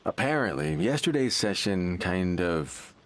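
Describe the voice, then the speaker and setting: A man playing an evasive, fragile townsman in an anime game, with a hesitant, drawn-out delivery. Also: sits low, sing-song, dry recording. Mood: uneasy.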